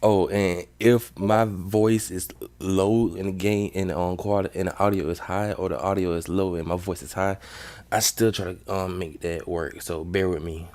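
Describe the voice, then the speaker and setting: A man's voice: deep voice, fairly even intonation, normal speed, a little mellow. A man hosting a reaction video, talking loosely in slang with fillers and swearing. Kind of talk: casual reaction commentary.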